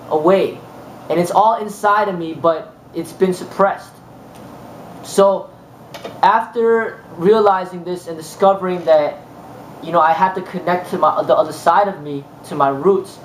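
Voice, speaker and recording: Martial arts teacher, man, slightly high voice, loose rambling talk, roomy sound. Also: a little hiss or hum. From United States. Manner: rambling, many fillers and restarts. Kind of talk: casual monologue.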